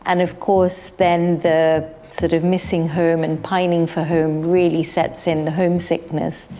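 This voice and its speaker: A woman's voice: on the low side, level tone, unhurried, warm tone. A middle-aged woman from Kenya, a restaurant owner, answering interview questions in a thoughtful, heartfelt way. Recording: light music bed behind.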